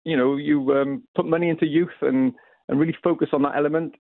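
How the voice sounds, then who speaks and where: A man's voice: fairly high pitch, fairly even intonation, quick pace, vocal fry, no room sound. Welsh man on a tinny phone line. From Wales. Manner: hesitant, repeats words, enthusiastic. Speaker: author guest. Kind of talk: phone-in radio interview.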